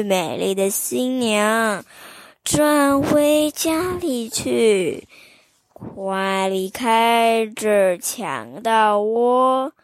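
A woman voicing a slow-chanting caged bird. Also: on the high side, no echo. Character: small caged bird warning the bride. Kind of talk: children's storytelling.

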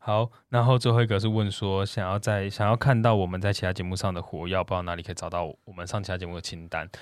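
Very low, quick-talking Taiwanese man. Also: little pitch movement, clean tone, dry recording. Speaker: podcast co-host. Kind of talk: casual chat.

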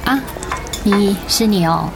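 A woman's voice: medium pitch, slow, creaky, noisy background. An actress playing a weary, guarded writer and mother with a Taiwanese accent in a radio drama. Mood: subdued. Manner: tired, guarded, reproachful. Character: weary, resentful overworked writer wife and mother. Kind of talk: Mandarin radio drama acting.

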